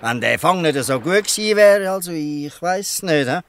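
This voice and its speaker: An actor with a Swiss German accent playing a weary, good-natured old fisherman who explains things haltingly in a dialect audio drama. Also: fairly high pitch, lively intonation, a bit bright, a little hiss or hum. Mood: explaining, resigned.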